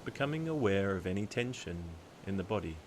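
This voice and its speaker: A man narrating a guided meditation in a calm, soothing, deliberate way, leaving long pauses. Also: on the low side, a little hiss or hum.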